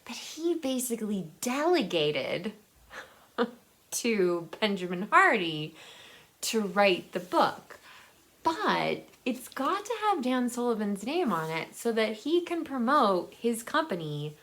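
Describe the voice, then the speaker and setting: A woman talking casually and unscripted as a book vlogger, in a halting way, thinking aloud and rephrasing as she goes. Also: fairly high pitch, big pitch swings, a bit bright.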